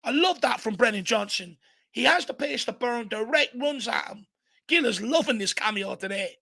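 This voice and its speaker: A high, bright-edged Irish male voice, hoarse and a little gravelly, calling the play with animated energy. He hosts a fan watchalong from a home desk mic. He cheers the players on and breaks down the action as it happens. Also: moderate pace. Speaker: fan channel host. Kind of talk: excitable live match commentary.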